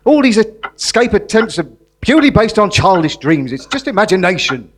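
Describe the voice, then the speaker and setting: A man's voice: sits high, very animated, moderate pace. A man playing a stern, exasperated prison governor in live stage comedy, scolding pompously. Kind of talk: live comedy theatre acting. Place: live performance in a museum. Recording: noisy live stage recording.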